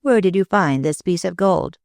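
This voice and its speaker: A reader voicing a thin, pale woman clairvoyant who speaks slowly and with emotion, in an audiobook. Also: medium pitch, animated, vocal fry, darkish tone, no echo, clean studio sound, pitched above the performer's own voice. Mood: calm. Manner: slow, emotional, solemn. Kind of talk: audiobook reading.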